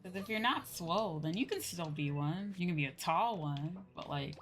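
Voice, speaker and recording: Low-pitched woman chatting casually over game music. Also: animated, moderate pace. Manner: informal, rambling, slangy, mildly profane. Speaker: livestreamer, VTuber host. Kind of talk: casual chat while playing a game, answering viewers. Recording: music playing behind the voice.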